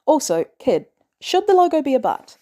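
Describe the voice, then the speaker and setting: Sarcastic inventor guy, played by a woman, wry, exasperated, swooping pitch, informal, fan fiction audiobook. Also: sing-song, normal speed, mellow. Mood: wry, bantering. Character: sarcastic, exasperated adult male inventor, fond of teasing.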